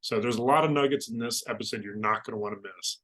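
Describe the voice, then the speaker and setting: A man's voice: medium pitch, fast, fairly bright tone. A man co-hosting a podcast in a friendly, conversational way, introducing and questioning a guest. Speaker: podcast co-host.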